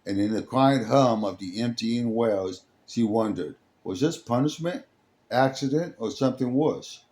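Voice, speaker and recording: A man reading a dramatic scripted story as its narrator, in a halting way, sometimes stumbling over the words. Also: mid-range voice, darkish tone. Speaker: storyteller, host.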